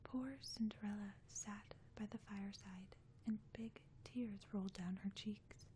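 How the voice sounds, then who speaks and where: A woman speaks in a breathy, gentle whisper with a dark, mellow tone. She sounds soothing, like a caring friend doing ASMR bedtime reading close to the microphone. There is some faint noise in the recording. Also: mid-range voice, steady tone, unhurried.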